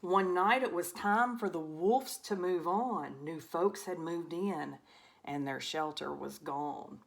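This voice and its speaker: A woman reading a rhyming children's storybook aloud as an expressive narrator. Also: on the low side, slow, gravelly. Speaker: storybook reader.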